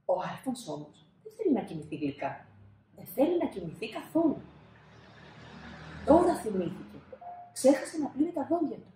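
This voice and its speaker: A woman in her thirties reads a storybook for children. Her pitch moves up and down expressively, and she leans on key words with dramatic pauses. The room gives her voice a noticeable echo. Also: big pitch swings, warm tone, a little hiss or hum. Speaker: storybook reader. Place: small indoor room, seated. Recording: roomy indoor echo.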